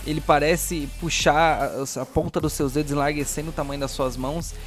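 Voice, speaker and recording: A man's voice: fairly high pitch, steady tone, fairly quick. A Brazilian man narrating a horror tabletop game as game master, moving between dramatic description and casual slangy asides. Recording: music playing behind the voice. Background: music.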